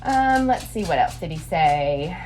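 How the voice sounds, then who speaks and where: A woman hosting story time, reading aloud and explaining things simply to young listeners in an expressive, instructive way. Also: sits low, measured pace, low-quality recording.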